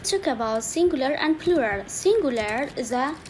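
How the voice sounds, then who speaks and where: A woman teaching an English lesson slowly, repeating each word and saying "okay" often, with keyboard typing faintly behind her. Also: high voice, lively intonation, a little hiss or hum, low-quality recording. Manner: slow, repetitive, saying each word twice with frequent "okay". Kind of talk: slow screen-recorded language lesson.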